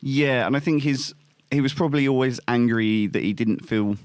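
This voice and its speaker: A man's voice: medium pitch, moderate pace, smooth, no echo. A man co-hosting a podcast in casual chat, blunt and sarcastic with plenty of swearing. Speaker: podcast co-host.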